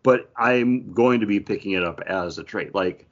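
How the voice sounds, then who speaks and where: A man's voice: on the low side, normal speed, highs cut off. A man talking casually and conversationally as a co-host of a comic book review podcast.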